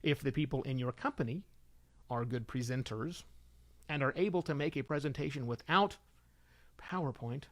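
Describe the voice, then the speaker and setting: A middle-aged man hosting a solo show, giving informal advice in an animated, emphatic way with conversational asides. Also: medium pitch, moderate pace, pauses often. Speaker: podcast host and consultant.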